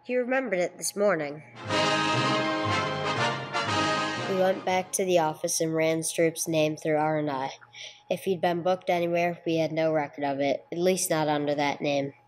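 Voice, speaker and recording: Low-voiced, clipped police sergeant played by a woman. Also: fairly even intonation, moderate pace, a little mellow, soft background music, natural voice, rough recording. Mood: pressing, matter-of-fact. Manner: matter-of-fact, clipped. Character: terse, dogged police sergeant who narrates the case. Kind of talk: radio play acting with clipped narration.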